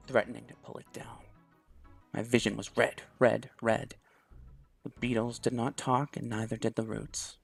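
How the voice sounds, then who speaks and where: A man's voice: medium pitch, vocal fry. A young man hosting a let's play, chatting casually and reading a visual novel's story text aloud. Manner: chatty, laughs easily, thinks aloud.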